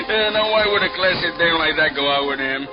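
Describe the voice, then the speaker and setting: A man plays a chatty, rough-spoken fat waiter from a dive. The voice is high for a man, in old radio drama manner. Loud music sits behind the dulled recording. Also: moderate pace, dull audio, natural voice. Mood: chummy, casual. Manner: colloquial, chummy. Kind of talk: 1940s radio acting.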